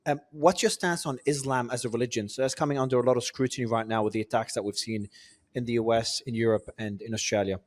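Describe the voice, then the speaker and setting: A man hosting an interview, cutting in quickly to redirect with a new question. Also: mid-range voice, steady tone. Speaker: interview host.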